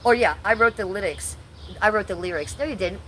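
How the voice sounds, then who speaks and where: A woman's voice: mid-range voice, fairly quick, a bit bright, a little hiss or hum. A middle-aged woman from Ohio, talking bluntly and sarcastically to her chat on a livestream, swearing freely. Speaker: livestream host.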